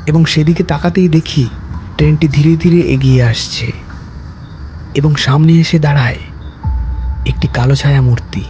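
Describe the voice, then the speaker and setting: A man narrating a ghost story in the first person, in a measured and steady manner. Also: a little high, muffled recording, a little hiss or hum.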